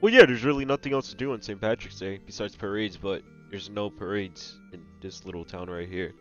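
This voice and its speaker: A man's voice: fairly low pitch, fairly quick, a bit bright, voice pushed down, natural voice. A man playing a mild, matter-of-fact sidekick in an improvised comic skit, talking casually and a little hesitantly. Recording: dull lo-fi audio with music behind.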